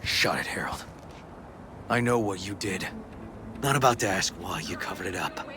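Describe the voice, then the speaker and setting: Deep, gruff, dogged American detective. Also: male voice, lively intonation, moderate pace, noisy background. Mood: confrontational. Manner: terse, clipped, gruff street talk. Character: dogged, principled, brooding male police detective. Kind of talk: video game voice acting.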